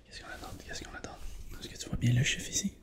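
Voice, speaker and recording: A man with a Quebec French accent doing a soft, whispered ASMR roleplay as an examiner, gently repeating phrases and checking in with the listener. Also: quick pace. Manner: gentle, repetitive phrasing with reassuring check-ins. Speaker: ASMR performer playing an eye examiner.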